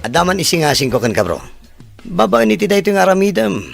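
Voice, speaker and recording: A man's voice: high voice, very animated, fast talker, creaky, faint music behind, full character voice. A man playing a frank young friend in an audio drama, giving advice casually. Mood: curious, persuasive. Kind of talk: Ilocano audio drama acting.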